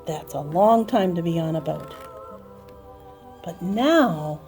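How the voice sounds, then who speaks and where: A woman telling a story to young children in an expressive, dramatic manner, with emphatic repeated phrases. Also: fairly low pitch, slow. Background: music and sound effects.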